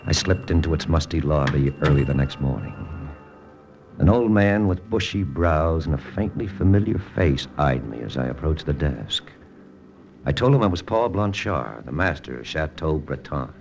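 An actor playing a brooding, jealous American lieutenant and undercover agent, terse and clipped, with an American accent, in 1940s-50s radio drama style. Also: male voice, a bass voice, animated, moderate pace, vocal fry, darkish tone, faint music behind, full character voice. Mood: brooding narration. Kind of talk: 1940s-50s radio drama acting and first-person narration.

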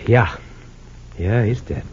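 An actor playing a steady, agreeable police lieutenant, laconic and matter-of-fact, in a 1940s-style radio drama. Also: male voice, lowish voice, slow pace, slightly rough, heavily acted. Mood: grave. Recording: muffled old radio recording.

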